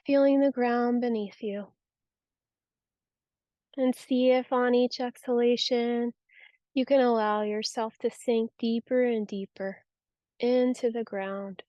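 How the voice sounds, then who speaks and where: Mellow, soothing woman guiding relaxation. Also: fairly high pitch, fairly even intonation, no echo, dull audio. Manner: soothing, unhurried, gentle instruction. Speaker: yoga nidra guide. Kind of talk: guided relaxation meditation.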